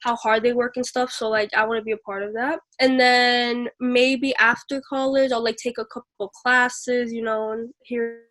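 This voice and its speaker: Teen girl on a video call, stutters a bit, says like constantly, voice a little high. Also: flat delivery, fairly bright tone. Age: teenager. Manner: stutter, many "like" fillers, sometimes slow. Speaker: guest. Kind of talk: casual interview answers. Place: small room indoors.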